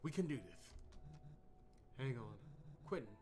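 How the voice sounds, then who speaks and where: A man voicing the anxious, earnest teenage boy hero of a visual novel, in an expressive, questioning way. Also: mid-range voice, measured pace, faint music behind. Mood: earnest, worried.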